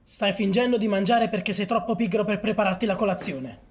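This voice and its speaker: A man's voice: sits high, fairly even intonation, a little fast, noisy background. A man dubbing an uptight, theatrical, exasperated young man in a comedy sketch.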